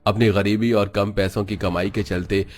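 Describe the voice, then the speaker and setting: A man reading scripted narration for an animated family story. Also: fairly low pitch, normal speed.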